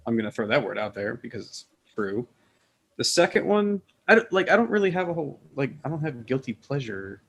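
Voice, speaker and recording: A man with a medium-pitched voice talks casually on a group podcast. He rambles through his opinions in an informal way and swears offhandedly. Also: big pitch swings, fairly quick, a bit bright, husky. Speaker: podcast panelist.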